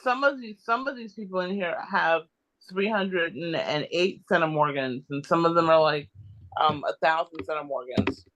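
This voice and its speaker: A man chatting casually in a group discussion, halting as he thinks aloud. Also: on the high side, fairly bright tone, low-quality recording. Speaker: participant in a genealogy group discussion. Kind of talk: casual chat.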